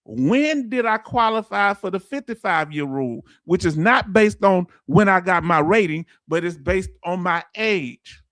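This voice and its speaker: A man's voice: sits high, a bit bright, no echo, clean studio sound. A man who teaches a livestream audience in loose, folksy talk and quizzes viewers with repeated questions. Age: 50s. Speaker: veteran benefits streamer. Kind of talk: livestream lesson answering chat.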